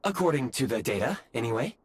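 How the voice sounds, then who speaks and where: An actor as a formal, lively-pitched robot guide. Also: quick pace, heavy background noise, lightly acted. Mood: puzzled, apologetic. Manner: formal, precise, courteous. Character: polite, dutiful robot guide with formal logic. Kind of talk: scripted video game voice acting. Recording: music behind the voice.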